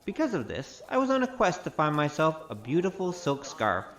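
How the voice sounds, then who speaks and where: A man's voice: fairly high pitch, wildly swooping pitch, normal speed, fairly bright tone, heavily acted. A male actor playing a roguish, wry storyteller with a grandiose, theatrical manner in a comic audio drama. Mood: wry narrating. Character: roguish, boastful storyteller, wry poor adventurer. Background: music.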